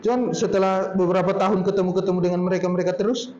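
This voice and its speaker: A man from Poso in Indonesia with a regional Poso accent, speaking casually to a group in a relaxed storytelling way and drawing out his words. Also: sits high, a little hiss or hum. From Poso, Central Sulawesi, Indonesia. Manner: chatty, drawn-out storytelling tone. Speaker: speaker at a talk. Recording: roomy, dull lo-fi sound with faint ambience.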